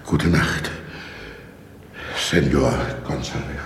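An actor playing a confused, weary man in a slow radio drama. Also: steady tone, a bit bright, heavily acted. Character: confused, weary man saying good night. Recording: echoey hall with faint rumbling noise.

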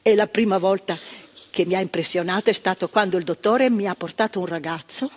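An older actress playing a frank, earnest former psychiatric nurse in a stage monologue. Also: female voice, medium pitch, brisk, breathy edge, lightly acted. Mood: earnest recollection. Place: theatre stage.

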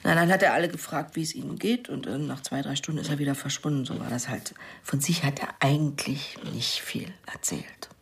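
An actress playing a middle-aged sister in a naturalistic radio crime drama, giving a plain, hesitant, colloquial account. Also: female voice, low voice, a little fast, vocal fry, hardly any pauses. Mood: reflective. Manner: hesitant, matter-of-fact, colloquial.

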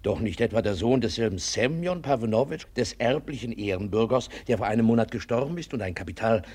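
A fawning nosy know-it-all, husky, voice jumping all over, old radio drama, repeats himself. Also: male voice, mid-range voice, expressive, a little fast, a little mellow. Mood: astonished, eager. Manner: obsequious, exclamatory, muttering repeated words. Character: meddling, fawning know-it-all Russian busybody man. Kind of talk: 1950s German radio play acting. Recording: 1950s radio drama recording.

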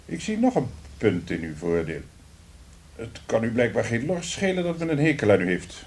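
A man's voice: medium pitch, very animated, moderate pace, darkish tone, slight background noise, natural voice. An actor in a radio play as a blunt, sardonic male director, dry and commanding in a job interview. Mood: sardonic probing. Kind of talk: radio drama acting.

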